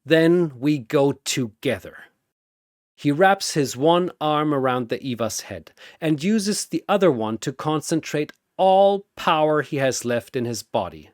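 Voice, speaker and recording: A man delivers dramatic battle narration at a deliberate pace. His theatrical voice swings widely in pitch and builds suspense, in a close, dry recording. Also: lively intonation, dry recording.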